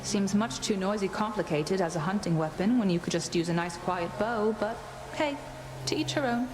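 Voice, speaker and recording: A woman's voice: mid-range voice, normal speed, heavy background noise, close to an everyday voice. An actor voicing a sporty, teasing female huntress goddess who talks in a playful, wry way.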